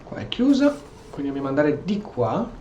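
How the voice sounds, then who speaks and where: A man's voice: a little high, big pitch swings, fast talker, mellow, muffled recording. A man with an Italian accent giving casual commentary as he plays a video game. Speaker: gaming streamer. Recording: echoey room, faint game noise.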